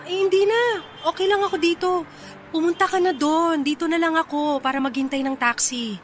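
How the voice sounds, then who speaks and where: An actress playing a proud, easily irritated but bashful young office boss in a Filipino radio drama, with a Filipino accent. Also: female voice, extremely high pitch, brisk, a little hiss or hum. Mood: flustered. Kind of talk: radio drama acting in Taglish.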